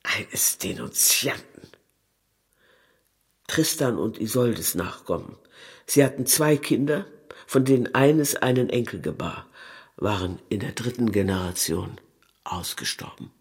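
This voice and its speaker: Husky male literary narrator. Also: lowish voice, animated, measured pace, long pauses. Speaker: narrator reading literary prose.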